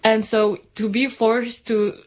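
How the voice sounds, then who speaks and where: A woman's voice: fairly high pitch, level tone, slow, a little mellow. A young woman from Iran co-hosting a casual chat, laughing easily and often saying "like.". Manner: giggly, casual, frequent "like". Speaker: podcast co-host.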